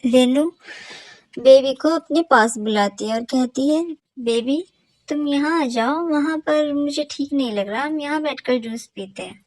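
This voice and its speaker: Woman telling a cartoon story, really high voice, scripted narration, no room echo. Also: a little slow, a little mellow.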